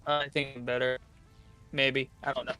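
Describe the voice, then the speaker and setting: Bright-voiced man with a sore throat. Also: mid-range voice, little pitch movement, moderate pace, smooth, faint music behind. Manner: teasing, laughing banter. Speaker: co-host friend on a stream. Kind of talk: casual gaming stream chat.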